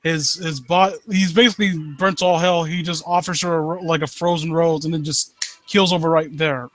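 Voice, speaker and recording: A man hosting a live stream, reading and commenting on stories in a halting, rambling way and often rephrasing himself. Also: fairly high pitch, little pitch movement, fairly bright tone, no echo, poor audio. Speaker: live stream host reading and critiquing amateur horror stories. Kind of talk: story read-aloud and casual commentary.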